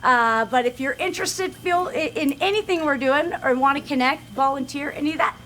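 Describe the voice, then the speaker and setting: A woman's voice: high voice, brisk, sharp tone, a little hiss or hum. A woman making a chatty community announcement for a youth club, full of fillers.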